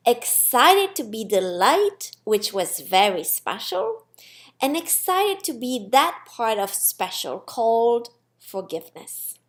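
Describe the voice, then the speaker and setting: Slow, higher-pitched woman reading a story. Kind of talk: expressive scripted story reading.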